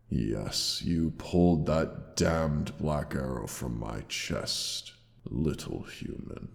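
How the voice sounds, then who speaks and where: Caring dragon lover, gravelly, unhurried, archaic and tender, long reverb, audio roleplay. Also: male voice, a little mellow, exaggerated voice. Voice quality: very deep, gravelly. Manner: slow, archaic, tender endearments. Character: caring old-world male dragon, tender protective lover. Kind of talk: intimate audio roleplay acting.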